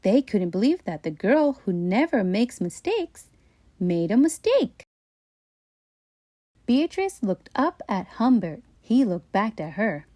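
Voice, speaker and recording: Unhurried, animated woman storyteller. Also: medium pitch, no room sound. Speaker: children's storybook reader. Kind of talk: expressive read-aloud bedtime story narration.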